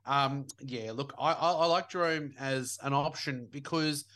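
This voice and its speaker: A young man talks sports in a loose, joking podcast chat. His voice is bright and forward, with a playful edge, and he keeps it casual. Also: medium pitch, measured pace. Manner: jokey, animated, laughs often. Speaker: podcast co-host.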